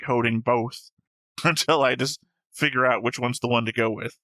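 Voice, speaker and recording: A man's voice: mid-range voice, fairly even intonation, normal speed, sharp tone, no room sound. A man co-hosting a reaction show, talking casually and analytically through an anime episode in an explanatory way.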